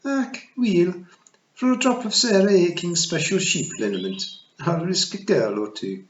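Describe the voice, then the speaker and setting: A tiny, shrewd old pixie queen with a broad Scottish burr, as a male reader puts her on, her voice pitched high and swooping as she teases and gives orders. It sounds like a storybook read aloud in a roomy space, the recording slightly dull. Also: lively intonation, measured pace. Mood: wry. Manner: warm, teasing and commanding. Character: tiny old dying female pixie chieftain, shrewd and wry. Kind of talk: storybook reading.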